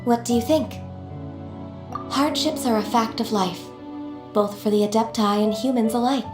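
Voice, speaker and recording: A woman voicing a gentle, dutiful young half-human immortal in an anime game, speaking softly and with earnest, formal politeness. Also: on the high side, normal speed, roomy sound, over music, close to an everyday voice.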